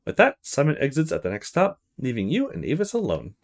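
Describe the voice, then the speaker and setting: A man's voice: mid-range voice, wildly swooping pitch, brisk. A man hosting a let's play, reading visual novel narration and adding his own commentary.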